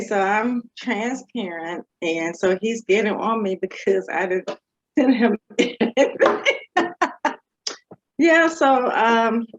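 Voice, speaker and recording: Woman doctor on a muffled call, talks slow, lots of um and uh, laughs a lot. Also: medium pitch. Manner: halting, many fillers, laughs often. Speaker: physician guest. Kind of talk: online panel self-introduction.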